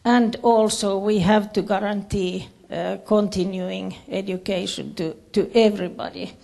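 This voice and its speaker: A woman with a Finnish accent giving a presentation in English as a second language, haltingly searching for her words. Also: medium pitch, fairly even intonation, gravelly, dark tone. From Finland. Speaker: conference speaker, education official. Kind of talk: presentation to an audience with slides.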